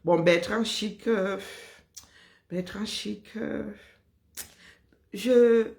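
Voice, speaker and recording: Woman, Cameroonian French accent, casual talk-show chat, animated storytelling, frequent laughter. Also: mid-range voice, drawn-out words, vocal fry. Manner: lively storytelling, laughs often.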